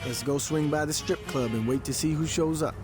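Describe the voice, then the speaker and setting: A man playing a cool, cautious gang lieutenant in a video game, briefing in a measured, wry, streetwise way. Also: on the high side, over loud music, natural voice. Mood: cool, cautioning. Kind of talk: video game cutscene and mission dialogue.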